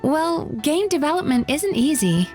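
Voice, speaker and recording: A young healer from a video game, with a lightly high-pitched voice. She speaks politely and earnestly, like a caring counselor, with soft music underneath. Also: big pitch swings, unhurried. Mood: friendly, helpful.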